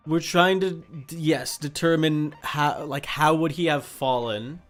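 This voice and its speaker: A man's voice: a little high, fairly even intonation, measured pace, faint music behind. A man in casual banter, guiding another player through a game.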